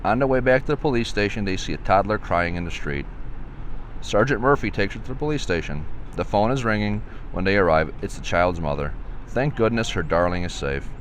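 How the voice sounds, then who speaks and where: A man's voice: fairly low pitch, animated, brisk, a little hiss or hum. A man reading a children's storybook aloud, stumbling and repeating a word now and then.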